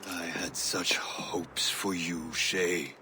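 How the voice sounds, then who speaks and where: An actor playing a proud male mentor of assassins in a video game cutscene, speaking with imperious, deliberate drama. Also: sits low, steady tone, slight background noise, heavily acted. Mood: bitter disappointment. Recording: loud music behind the voice.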